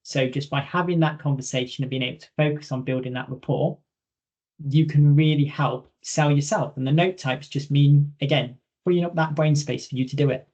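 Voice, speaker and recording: A man's voice: a little high, steady tone, moderate pace, darkish tone, highs cut off. A man presenting a training webinar in an informal, direct, conversational way, emphasising points through repetition.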